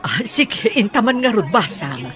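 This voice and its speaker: A woman's voice: medium pitch, very animated, normal speed, a crowd in the background, lightly acted. A woman playing an exasperated middle-aged mother, nagging and complaining melodramatically in an Ilocano radio comedy-drama. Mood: worried, grumbling.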